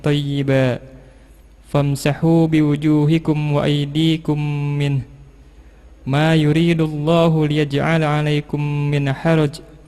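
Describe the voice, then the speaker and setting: Male Quran reciter, slightly high register, measured chanting with little pitch movement. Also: little pitch movement, darkish tone. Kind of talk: melodic Arabic Quran recitation.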